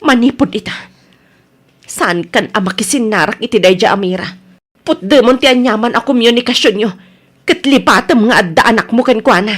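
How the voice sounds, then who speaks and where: Radio drama: stern, aggrieved wife and worried mother, high-pitched, reproachful female actor. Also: moderate pace, natural voice. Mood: stern, hurt. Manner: firm, reproachful.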